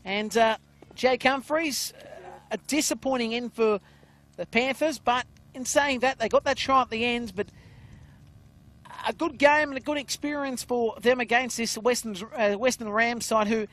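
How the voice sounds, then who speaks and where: Man, very high voice, loud play-by-play caller, filler-heavy, outdoor ground. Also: moderate pace. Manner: loud voice, calls play excitedly, many "uh" fillers. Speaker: play-by-play commentator. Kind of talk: live rugby league commentary.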